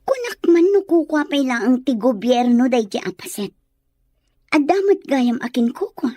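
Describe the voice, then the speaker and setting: A woman's voice: high-pitched, big pitch swings, normal speed, dark tone, no echo. A woman playing an inquisitive, sympathetic lady with a questioning manner, in a Filipino radio drama. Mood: concerned.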